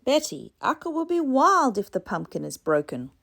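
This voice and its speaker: An excitable, pushy little island boy from a storybook, voiced by a woman. He is impatient and headstrong, with a high voice that jumps around. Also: normal speed, vocal fry, full character voice. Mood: growling.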